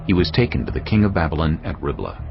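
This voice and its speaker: Very deep-voiced man narrating solemnly. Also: sing-song, gravelly. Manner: solemn, measured storytelling. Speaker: audio Bible narrator. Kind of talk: dramatised scripted scripture narration. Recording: slightly dull audio under background music. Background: background music.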